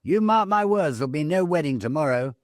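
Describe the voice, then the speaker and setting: A man acting a sharp, opinionated British sister in a storybook reading. The voice is pushed well up in pitch and swings emphatically, insistent and fault-finding. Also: lively intonation, brisk, no room sound, studio quality, natural voice. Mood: smugly ominous.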